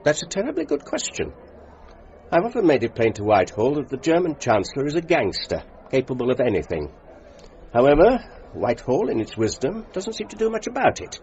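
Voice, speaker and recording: A genteel British ambassador in a radio drama. His upper-class English voice swings widely in pitch, courteous, hesitant and wry, on a slightly dull recording. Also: huge pitch swings, brisk, a little mellow. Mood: grave, frank. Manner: polite, hesitant, understated.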